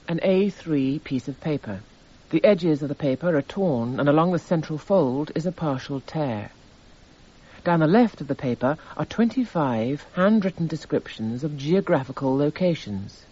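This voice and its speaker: An actor playing an impassive narrator in a radio drama, reading out court evidence in a measured, factual way. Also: male voice, fairly high pitch, warm tone, breathy edge, lo-fi sound.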